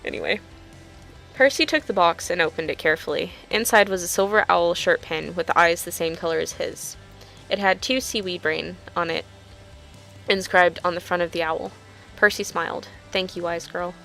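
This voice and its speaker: A woman's voice: on the low side, normal speed, gravelly, a bit bright, faint music behind, low-quality recording. A woman with an informal, self-deprecating manner, telling stories and chatting casually as an online video creator. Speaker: YouTuber reading own fanfiction. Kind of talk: casual storytelling and video chat.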